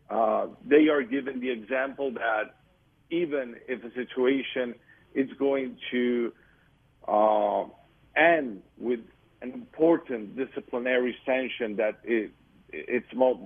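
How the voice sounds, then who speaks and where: Earnest man on a tinny line. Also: mid-range voice. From Venezuela. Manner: earnest, searching for words, frequent restarts. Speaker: guest soccer commentator. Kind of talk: reflective interview talk. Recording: tinny phone-line audio.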